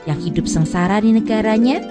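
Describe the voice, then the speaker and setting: An actress playing an outspoken former migrant worker in an educational drama, colloquial. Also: female voice, mid-range voice, brisk, loud music behind the voice, close to an everyday voice. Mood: solemn recital. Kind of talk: scripted educational radio drama dialogue.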